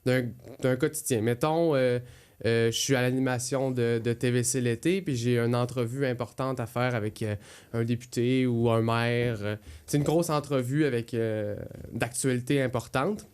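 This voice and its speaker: A man speaks with a Quebec French accent in a casual podcast conversation. His voice sits at an average pitch, and he comes across as a candid co-host, sharing personal experience in loose, colloquial phrasing. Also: steady tone, moderate pace, a little mellow, smooth. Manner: candid, colloquial, acts out inner thoughts.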